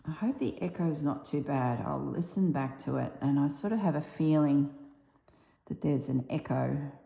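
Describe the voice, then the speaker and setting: An Australian woman with a husky, slightly strained voice chatting casually while she stitches, softly dropping toward a whisper at times. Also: low-pitched, normal speed. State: voice strained from yelling. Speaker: embroidery vlogger. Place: tiled room with little on the floor. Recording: echoey tiled room.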